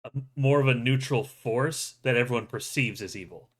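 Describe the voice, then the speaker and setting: A man's voice: medium pitch, a little fast, breathy edge. A man hosting a livestream with casual movie news chat, joking and swearing freely. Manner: jokey, profane. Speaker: show host.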